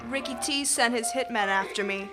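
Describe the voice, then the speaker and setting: Woman as a higher-voiced mysterious noir dame. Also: moderate pace, lightly acted. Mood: troubled. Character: mysterious alluring woman, gangster's runaway girlfriend. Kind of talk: noir radio drama. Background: music.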